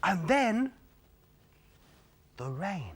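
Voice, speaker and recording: A man reading a children's storybook aloud in a theatrical way, drawing out phrases and using dramatic pauses. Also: high voice, sing-song, husky. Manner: theatrical, with drawn-out phrases, gasps and dramatic hushes. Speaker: storybook reader.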